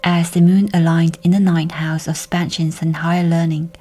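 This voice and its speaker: A woman leading a guided meditation in a soft, breathy, whispery voice, with gentle, measured, soothing delivery. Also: lowish voice, level tone.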